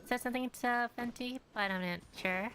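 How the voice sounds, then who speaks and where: A woman's voice: on the high side, normal speed, a bit bright, slight background noise. A woman giving casual gameplay commentary as a streamer, musing and hesitant as she thinks aloud. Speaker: game streamer.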